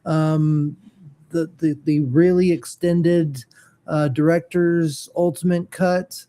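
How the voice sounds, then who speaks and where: A man co-hosting a laid-back chat show, with a mellow, somewhat high voice. He gives blunt opinions while hesitating over his words. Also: steady tone, slow pace. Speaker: co-host. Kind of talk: casual chat.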